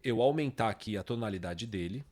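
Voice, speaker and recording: Brazilian man giving an informal software tutorial. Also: lowish voice, expressive, brisk. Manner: frequent tag questions checking understanding. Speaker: music production tutorial host. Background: guitar samples and music played from software.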